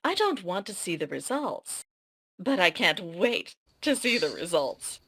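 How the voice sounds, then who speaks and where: A woman's voice: on the low side, very animated, measured pace, a bit bright. A woman acting out lines at an amateur dramatic table read.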